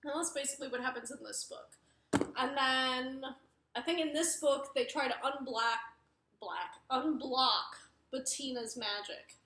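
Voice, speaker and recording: High-voiced rambling young woman in an echoey room. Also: measured pace, bright, forceful tone, a little hiss or hum. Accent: mixed Wisconsin, New York and Arizona. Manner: rambling, self-correcting, many fillers. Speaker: book reviewer. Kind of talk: casual chat.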